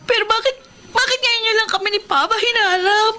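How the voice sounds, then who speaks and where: A woman's voice: very high-pitched, fairly quick, sharp tone. A woman playing an eager, polite teenage daughter in an emotional radio drama. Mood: tearful, overwhelmed. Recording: noisy radio drama recording.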